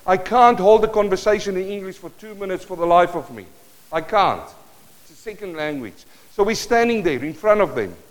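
Afrikaans-accented pastor telling a story. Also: male voice, high voice, moderate pace, poor audio. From South Africa. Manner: storytelling, animated bursts, moves around the stage. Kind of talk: sermon. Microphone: worn or handheld mic that cuts in and out while he moves.

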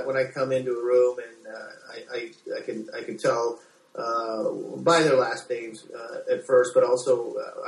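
A middle-aged American man answering interview questions as a doctor, speaking haltingly with repeated words. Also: medium pitch. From United States. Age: 40s. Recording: echoey room, distant from microphone.

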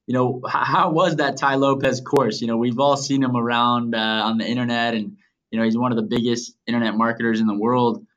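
A man's voice: mid-range voice, fairly bright tone, highs cut off. A male podcast host with a voice in the middle range. He asks his questions with eager enthusiasm and fills the gaps with loose, casual phrasing. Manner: enthusiastic, with many "you know" fillers. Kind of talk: casual interview hosting.

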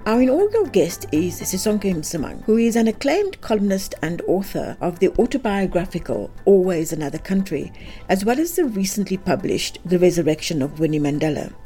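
A woman's voice: medium pitch, big pitch swings, a little fast, mellow, faint music behind. A woman hosting a podcast, reading a scripted introduction and then asking conversational questions. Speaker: podcast host. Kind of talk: podcast interviewing.

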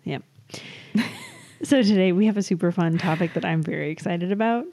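A woman's voice: fairly low pitch, normal speed, mellow. A woman co-hosting a podcast in casual banter, giggly and playful, often correcting herself as she goes. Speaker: podcast co-host. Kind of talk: casual banter between co-hosts.

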